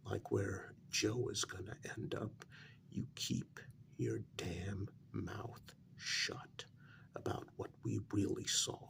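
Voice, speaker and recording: A man playing an anxious working-class older brother in a soft-spoken ASMR role-play, speaking in a hushed, deliberate, insistent way. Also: vocal fry. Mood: stern, warning. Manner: low, deliberate, insistent, half-whispered warning.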